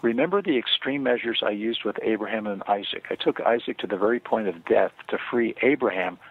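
An older man, an author and guest on a radio interview, speaking earnestly and measuredly with frequent pauses and fillers. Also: fairly low pitch, breathy edge. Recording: tinny phone line, dry.

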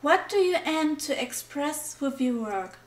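High-voiced woman host in an echoey room. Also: animated, unhurried, slight background noise. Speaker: channel host, interviewer. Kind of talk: scripted intro and read-out questions.

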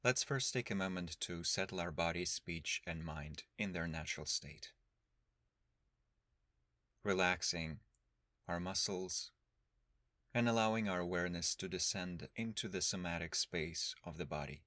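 Deep voiced guy doing a guided meditation, calm n measured w long pauses, really dry sound. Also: no echo. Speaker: meditation teacher.

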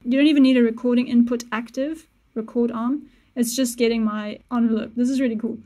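Mellow-voiced woman explaining music software. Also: fairly high pitch, a little fast. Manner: relaxed, step-by-step explaining, self-correcting asides. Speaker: music producer tutorial host. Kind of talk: casual screen-recorded software tutorial. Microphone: studio mic on boom with headphones.

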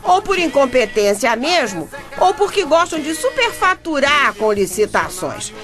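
A woman's voice: high voice, big pitch swings, measured pace, a bit bright, faint music behind, heavily acted. A woman playing an exasperated, sarcastic Brazilian wife who narrates a radio drama in a chatty, mocking way. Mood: wry.

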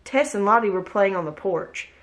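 A woman in her thirties reading a picture book aloud with gentle, expressive storytelling, at home on a webcam. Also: mid-range voice, normal speed, rough recording. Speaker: read-aloud host. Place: home room, indoors. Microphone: webcam at desk distance.

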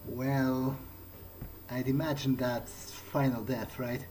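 A man's voice: medium pitch, flat delivery, takes it slowly, a little mellow, a little hiss or hum. A man playing a video game in character, speaking hesitantly and thinking aloud in short phrases. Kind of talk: in-character game playthrough commentary.

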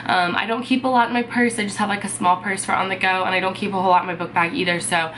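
A woman's voice: medium pitch, steady tone, rapid-fire, fairly bright tone, slight background noise, low-quality recording. A congested young woman, chatting casually to camera as a beauty vlogger in her bedroom, full of "um" and "like". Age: young adult. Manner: chatty, many fillers like "um" and "like". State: sick and congested. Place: bedroom, indoors.

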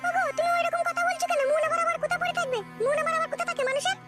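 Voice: very high-pitched, fairly even intonation, racing. A performer voicing an aggrieved, defiant wife in an adult comedy cartoon, answering back with whining indignation. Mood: defensive. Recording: very loud music under the voice.

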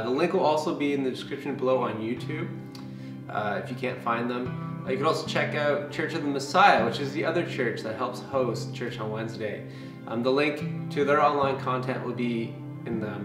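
A man's voice: mid-range voice, a bit bright, far from the mic. A young man leading an informal Bible study to camera, talking earnestly and conversationally with fillers and restarts. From Canada. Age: young adult. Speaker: campus ministry leader. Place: small room with brick wall and bookshelves, indoors. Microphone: camera mic from a few feet away. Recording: echoey room, voice set back from the mic.

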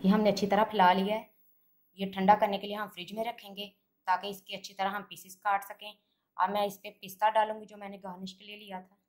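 Calm woman narrating a home recipe. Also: medium pitch, fast. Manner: calm, homely, explains each step plainly. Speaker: cooking channel host. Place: home kitchen, hands at the stove.

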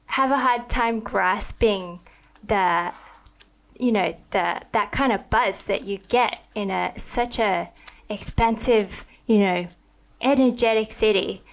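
A young woman hosting a podcast interview, talking in an enthusiastic and thoughtful way with many likes, into a boom-arm mic in a home studio. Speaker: podcast host. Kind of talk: conversational interview. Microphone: studio mic on a boom arm with headphones.

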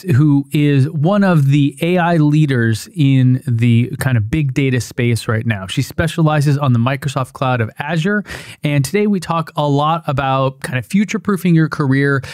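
A man in his thirties hosting a podcast, chatting in a relaxed, friendly way at a studio mic in a dim home studio. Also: mid-range voice, clean tone, dry recording. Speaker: podcast host. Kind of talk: casual interview chat. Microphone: studio mic on boom, headphones.

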